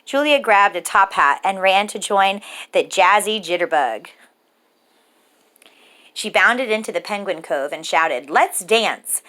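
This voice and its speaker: A woman reads a picture book aloud for young children. She takes her time, letting each phrase land with an expressive, rhythmic lilt. Her voice sits at an ordinary medium pitch for a woman. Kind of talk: children's story read-aloud.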